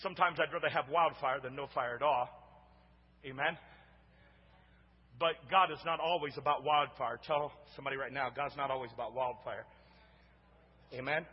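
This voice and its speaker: A man preaching a sermon as pastor in a church, with a big booming voice that swings from hushed asides to shouting and calls out to the congregation for responses. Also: fairly even intonation, normal speed, long pauses, slightly rough, long reverb tail, muffled recording. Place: church sanctuary.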